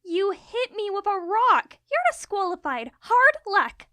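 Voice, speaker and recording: An exasperated young cartoon unicorn judge, played by a woman, with an extremely high, piercingly bright voice. Her pitch swings around a lot, and she speaks slowly in a dry recording. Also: sing-song, no echo, full character voice. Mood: indignant. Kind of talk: cartoon-style fan audio drama.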